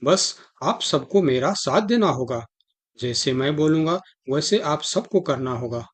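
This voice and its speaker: A man's voice: fairly high pitch, expressive, a little slow, breathy edge. A man voicing a commanding, protective cartoon lion king. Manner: commanding, formal, reassuring. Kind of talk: Hindi animal cartoon dialogue.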